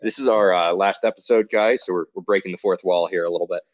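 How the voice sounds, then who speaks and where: A man's voice: deep voice, steady tone, quick pace, no echo, lo-fi sound. A man co-hosting a casual chat, easygoing as he steers the talk. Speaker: co-host.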